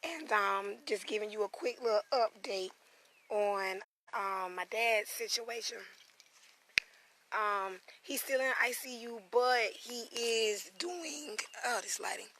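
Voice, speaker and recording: A hoarse woman from South Carolina, chatting loosely on a live-stream vlog outdoors on family land, greeting viewers by name as she goes. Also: fairly high pitch, slow pace, background noise. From South Carolina, USA. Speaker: spiritual reader and vlogger. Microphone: phone camera.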